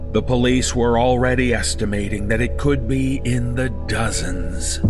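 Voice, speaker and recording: A man reading a scripted first-person horror story in a dramatic, suspenseful manner. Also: mid-range voice.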